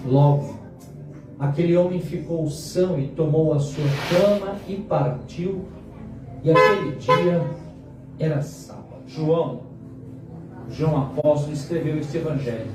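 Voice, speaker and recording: Echoey Brazilian pastor preaching over music. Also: male voice, a little high. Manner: dramatic pauses and repetition for emphasis. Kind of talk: sermon. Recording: very echoey hall, distant mic, music behind.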